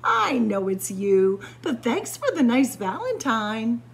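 A woman's voice: on the high side, very animated, takes it slowly, a little hiss or hum, heavily acted. A woman voicing a friendly, knowing male horse in a children's storybook, matter-of-fact and obliging. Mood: knowing, helpful. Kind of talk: children's storybook character reading.

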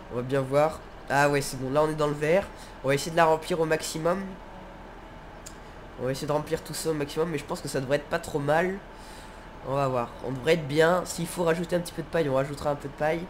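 Male gaming host, higher voice, nasal with a cold, casual thinking-aloud commentary, faint background noise. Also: steady tone, fast talker. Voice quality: congested, nasal. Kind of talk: casual let's play commentary.

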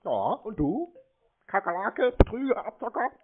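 A mocking man with an animated, rather high voice plays with his caller. He is sarcastic and provoking, and the sound is thin like a telephone. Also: normal speed, a little hiss or hum. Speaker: prank call recipient baiting phone scammers. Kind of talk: mocking prank phone call. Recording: narrow phone-like sound.

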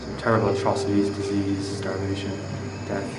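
A young man in his twenties, talking earnestly and haltingly while vlogging outdoors at arm's length. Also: low voice, completely flat delivery, very noisy. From Ireland. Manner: earnest, thoughtful, halting with fillers. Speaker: travel vlogger. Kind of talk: casual travel vlog chat. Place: outdoors on a hot day. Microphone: handheld camera at arm's length. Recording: background music under voice.

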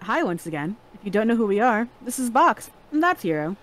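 Playful giggly gamer, mid-pitched. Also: very animated, a little fast, poor audio. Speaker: co-host and gamer. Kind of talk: casual gaming chat.

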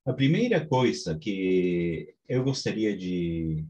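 Peruvian guy teaching a class over a webcam, slow halting talk, kinda low voice. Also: sing-song, mellow, dull audio. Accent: Peruvian Spanish. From Peru. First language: Spanish. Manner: slow and halting, many restarts. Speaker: course instructor. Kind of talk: online class. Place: small home study with bookshelves. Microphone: webcam on video call.

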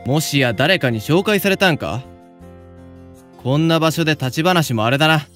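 Senior samurai student, self-assured and kindly, Choshu dialect, highish male voice, soft music behind male actor. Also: animated, moderate pace. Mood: affable. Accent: Yamaguchi (Choshu). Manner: old-fashioned, breezy authority. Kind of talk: anime-style voice drama.

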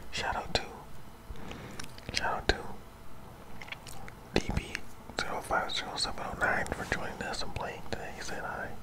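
A man's voice: moderate pace, long pauses, poor audio. A man whispering casual, informal gameplay commentary in ASMR style, close to the microphone. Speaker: gaming ASMR YouTuber.

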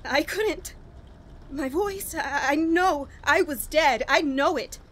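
A woman playing a despairing, bitter young murderess, halting and brooding, in an old-style radio horror drama. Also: sits high, a bit bright. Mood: terrified. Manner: halting, brooding, with anguished emphasis. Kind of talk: melodramatic 1940s-style radio horror acting.

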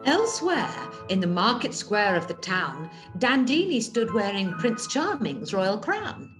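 A woman playing a brisk, arch pantomime narrator, telling a fairy tale theatrically and firmly in charge. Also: medium pitch, big pitch swings, rough recording. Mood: storytelling. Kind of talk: rhyming scripted narration. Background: music.

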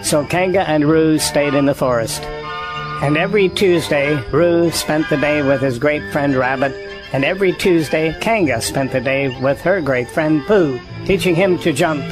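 A man's voice: a little high, normal speed, poor audio. A man narrating a children's storybook audio drama in a scripted reading style. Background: music.

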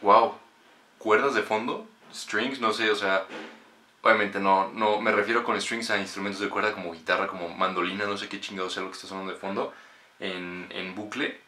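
A man's voice: fairly low pitch, fairly even intonation, moderate pace, pushed and bright. A man in his twenties with a Mexican accent, chatting casually and with slang as he gives a music reaction. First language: Spanish. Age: 20s. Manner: informal, slangy, swearing. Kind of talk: casual reaction and review chat. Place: small bedroom, indoors. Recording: roomy bedroom echo, faint music.

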